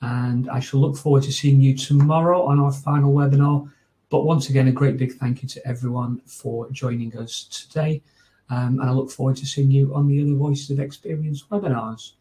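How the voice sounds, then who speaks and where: Northern English male, 40s, webinar host, warm low-key timbre, casual rambling delivery over a home webcam. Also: fairly even intonation, moderate pace. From north of England. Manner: chatty and informal, with many fillers and restarts. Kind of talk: closing remarks of a training webinar. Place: small room at home. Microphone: video call webcam.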